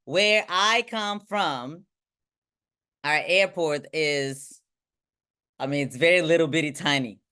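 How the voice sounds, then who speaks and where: A woman from Bulgaria, giving an energetic motivational talk to a group on a video call. She ends nearly every point with "right?" and her voice swings between high and low. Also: slow, pauses often, smooth. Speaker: motivational speaker and team trainer.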